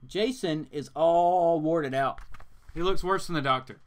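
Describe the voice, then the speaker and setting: A man's voice: on the high side, measured pace. A man reading a comic aloud informally and dramatically, breaking into joking asides. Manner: animated, joking, theatrical.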